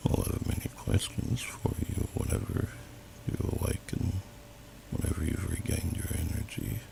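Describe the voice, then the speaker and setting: A man voicing a gentle, wise old male dragon in slow, formal, archaic speech for an ASMR fantasy roleplay, with a growling, breathy voice. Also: huge pitch swings, heavily acted. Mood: calm, musing. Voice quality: very deep, gravelly, breathy growl. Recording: noisy amateur home recording.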